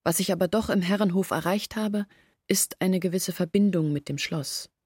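An actress playing an earnest village woman who explains and confesses in a measured literary radio drama monologue. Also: on the low side, darkish tone, slightly rough, no echo, studio quality. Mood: candid confessing.

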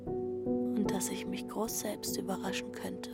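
A woman's voice: medium pitch, level tone, slow. A runaway teenage girl who wanders alone, headstrong and dreamy. She tells it in the present tense, lyrical and close, in a mid-range female voice. Prominent music plays under her throughout this audio drama. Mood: dreamy, intimate.

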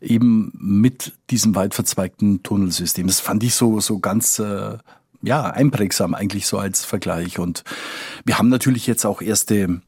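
A man's voice: fairly low pitch, normal speed, a little mellow, husky, no echo, clean studio sound. A man talking as a correspondent, explaining conversationally, thinking aloud and repeating words for emphasis. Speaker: foreign correspondent, interview guest.

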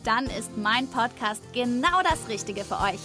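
A woman's voice: fairly high pitch, expressive, measured pace, sharp tone, faint music behind. A woman presenting a scripted promo for a children's podcast, addressing young listeners.

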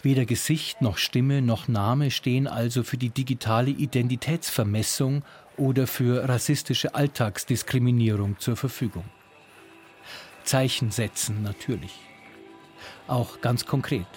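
A man reporting as a culture journalist, reading a scripted radio feature in a measured, descriptive way. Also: mid-range voice, fairly even intonation, a little mellow, soft background music.

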